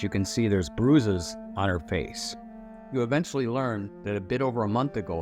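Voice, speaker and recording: A man with a fairly low voice narrates a television retrospective. The delivery is scripted storytelling, with faint guitar music behind him. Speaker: narrator of a TV review video.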